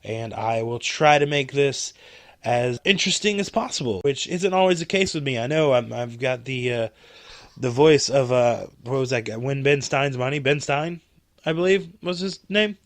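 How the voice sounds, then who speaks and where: A man hosting a podcast alone, rambling casually in a fairly monotone voice with many fillers, just over a cold. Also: mid-range voice, moderate pace, no echo. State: recovering from a cold. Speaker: podcast host. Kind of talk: casual solo podcast talk.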